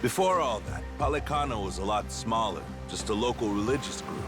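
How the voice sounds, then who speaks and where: A man's voice: lowish voice, animated, a little fast, over music, lightly acted. A man voicing a knowing, teasing treasure hunter who tells stories informally in a video game dub. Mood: storytelling. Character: knowing male treasure hunter sharing rumors, smooth-talking.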